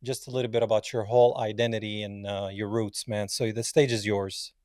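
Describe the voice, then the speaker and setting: A man's voice: on the low side, flat delivery, a little fast, darkish tone, no echo, clean studio sound. A man from Palestine hosting a casual interview, laid-back and calling his guest man and bro. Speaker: host.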